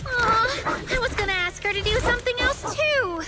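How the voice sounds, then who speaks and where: A woman voicing a gentle, earnest young female specialist in a video game, explaining things thoughtfully. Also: high-pitched, animated, normal speed, heavy background noise. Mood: flustered. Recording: music behind the voice. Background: game music.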